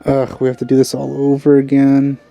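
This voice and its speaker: A man's voice: medium pitch, completely flat delivery, brisk, vocal fry, warm tone, highs cut off, soft background music. A man giving casual let's play commentary in a grumbling, exasperated manner. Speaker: let's player.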